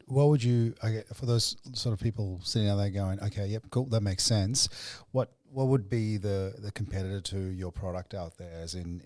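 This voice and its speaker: A man hosting an interview podcast casually, with informal, halting questions that circle before they land. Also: sits low, darkish tone, dry recording, clean studio sound. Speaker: podcast host.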